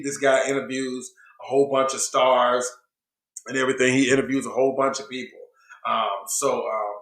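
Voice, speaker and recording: A man's voice: mid-range voice, fairly bright tone, roomy sound, low-quality recording. A man hosting a casual online talk show in a laid-back, slangy way, saying he is losing his voice. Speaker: show host.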